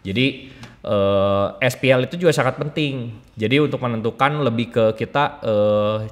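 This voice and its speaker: A man's voice: medium pitch, moderate pace, big-room sound. A man giving informal, explanatory talk as a sound engineer, using everyday examples. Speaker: sound engineer guest sharing tips.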